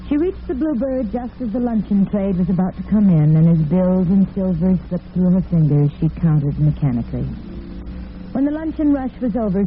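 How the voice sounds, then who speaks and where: A woman giving dramatic scripted narration as the storyteller of a radio drama. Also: lowish voice. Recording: tinny vintage radio recording with music.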